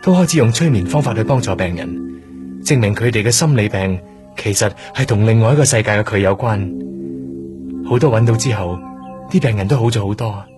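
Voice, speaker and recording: A man's voice: lowish voice, lively intonation, brisk, close to an everyday voice. An actor playing an odd, melancholy young man in a radio drama, explaining things earnestly and a little awkwardly in Hong Kong Cantonese. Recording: radio drama with loud music underneath. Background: music.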